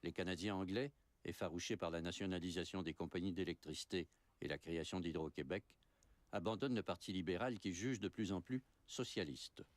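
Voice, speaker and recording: Un homme qui raconte un documentaire historique d'un ton posé et régulier. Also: male voice, deep voice, no room sound. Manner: measured, even storytelling. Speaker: documentary narrator. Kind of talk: scripted narration.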